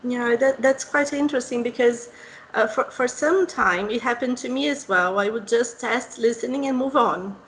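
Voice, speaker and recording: Brazilian woman interviewer, a little high. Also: normal speed, fairly bright tone, slight background noise, rough recording. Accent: Brazilian Portuguese. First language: Portuguese. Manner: conversational, reflective, with fillers. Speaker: interviewer, English teacher. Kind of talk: podcast interview.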